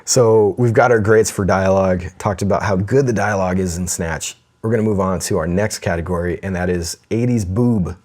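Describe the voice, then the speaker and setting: A man's voice: sits low, a little fast, few pauses, darkish tone. A man co-hosting a casual podcast, chatting with enthusiasm, laughing often and reading listener letters aloud. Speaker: podcast co-host.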